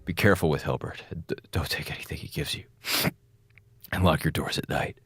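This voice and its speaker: A man's voice: rumbling low, fairly even intonation, fairly quick, fairly bright tone, slightly rough, exaggerated voice. An actor playing a wry, jittery male space station officer in a radio drama, rambling informally and stammering. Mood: resigned, gloomy.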